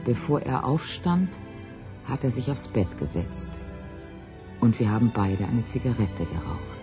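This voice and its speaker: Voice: medium pitch, lively intonation, unhurried, over music. A person giving a reflective personal reminiscence in plain, sober, short sentences.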